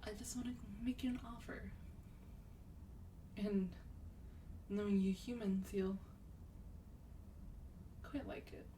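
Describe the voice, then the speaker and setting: A woman playing a sweet, wealthy vampire in a soft-spoken ASMR roleplay, breathy and often near a whisper, gently coaxing with a slightly haughty air. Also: medium pitch, fairly even intonation, slow pace, pauses often, distant mic, a little hiss or hum, lightly acted, low-quality recording. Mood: calm, reassuring.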